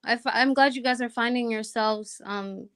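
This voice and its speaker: A woman's voice: a little high, moderate pace, a bit bright, clear, resonant tone. A young Kenyan woman calling in to a chat show, talking casually with many ums and emphatic asides. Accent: Kenyan, sounding American to listeners. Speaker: caller.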